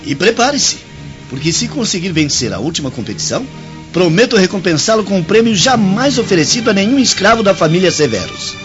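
A man's voice: high-pitched, big pitch swings, a little fast. A man playing a proud, playful Roman nobleman in a radio drama. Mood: jovial, lordly. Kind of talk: radio drama acting in Portuguese. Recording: muffled radio drama under loud music.

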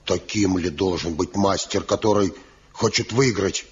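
A man's voice: low-pitched, a little slow, slight background noise. An actor playing a pious elder master craftsman in a 1970s radio play, earnest and reproachful as he pleads. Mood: pleading. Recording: dull lo-fi old radio recording.

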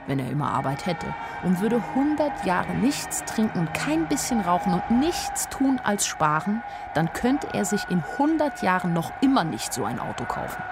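An actress playing a naive, sharp-eyed young woman who tells her own story in a radio play, artless and plain-spoken. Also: mid-range voice, gravelly. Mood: naive musing. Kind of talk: first-person radio play narration.

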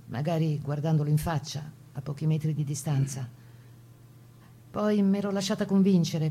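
A proud woman partisan of the Italian Resistance, a mother looking back. Her voice is low and very mellow, with soft, dark edges. She gives a measured, reflective testimony in a radio drama. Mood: sober, reflective. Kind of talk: dramatized first-person testimony in a radio play.

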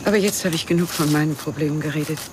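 An actress playing a middle-aged grieving widow in a radio crime drama, brooding and halting as she drifts into memories. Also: sits low, close to an everyday voice. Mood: brooding, wistful. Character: world-weary grieving widow, haunted by memories, self-pitying. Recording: noisy track with outdoor ambience.

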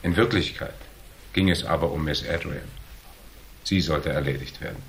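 A hardboiled investigator with a low, deep voice in a German radio crime drama. He is cool and shrewd, setting out each point with dry, measured, needling precision. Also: a little hiss or hum. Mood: needling, explanatory. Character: cool, shrewd hardboiled male investigator, calmly laying out deductions.

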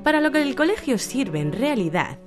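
A woman's voice: sits high, very animated, moderate pace, soft background music. An actress in a satirical radio drama playing a pragmatic, exasperated mother who reasons sarcastically, with a Castilian Spanish accent. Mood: reasoning.

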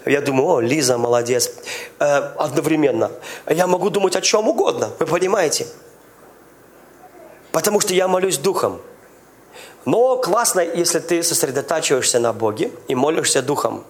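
Pastor, male, high voice, animated preaching, quizzes the congregation. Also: moderate pace. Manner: lively preaching with questions to the congregation; speaks in tongues. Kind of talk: sermon.

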